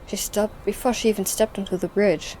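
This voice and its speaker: A woman's voice: medium pitch, steady tone, fairly quick, mellow, faint music behind, rough recording. A woman narrator reading scripted story narration for an audio drama.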